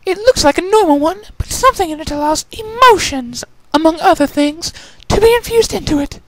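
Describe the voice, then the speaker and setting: A man's voice: very high voice, expressive, brisk, dry recording, voice pushed up, rough recording. A man voicing a refined, dramatic lady unicorn pony with a gushing, theatrical manner, in a fan story reading. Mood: gushing, reassuring.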